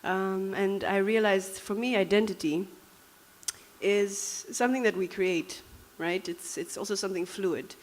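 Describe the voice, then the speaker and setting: A woman's voice: mid-range voice, unhurried. Reflective woman with a Dutch accent. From Namibia. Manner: reflective, conversational with many fillers. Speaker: speaker. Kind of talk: personal talk to an audience.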